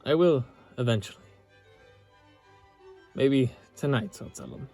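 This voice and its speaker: A man playing a loyal young knight in love with his princess, speaking gently and earnestly with halting pauses in a romantic audio roleplay. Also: medium pitch, expressive. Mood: fond, earnest. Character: loyal young knight, the princess's tender childhood-friend lover. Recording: orchestral music behind the voice.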